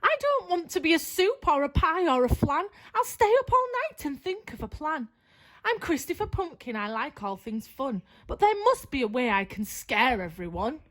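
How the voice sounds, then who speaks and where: A woman's voice: high-pitched, big pitch swings, normal speed, fairly bright tone. A woman voicing a cheerful, kindly young male pumpkin in a storybook, eager and friendly. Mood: determined.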